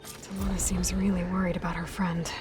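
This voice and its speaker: An actress playing a young woman hunter in a video game, talking calmly and thoughtfully. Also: lowish voice, a little fast, music in the background, lightly acted. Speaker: protagonist.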